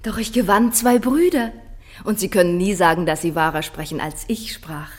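An actress as an earnest young princess. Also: female voice, lowish voice, normal speed, natural voice. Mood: tender, earnest. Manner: earnest, expressive. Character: tender, spirited young princess, loyal wife and sister. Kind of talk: theatrical classical radio drama.

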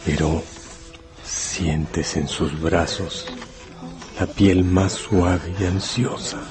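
A man narrating a radio novel in a solemn, deliberate, dramatic second-person style. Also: low-pitched, fairly even intonation. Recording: music bed under the voice. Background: music.

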